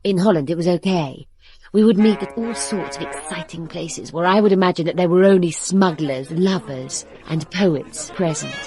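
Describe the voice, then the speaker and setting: A woman's voice: fairly low pitch, moderate pace, dark tone, faint music behind, lightly acted. An actress in a British radio drama playing a blunt, free-spirited woman in love, intimate and teasing. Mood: wistful, confiding. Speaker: actress and narrator.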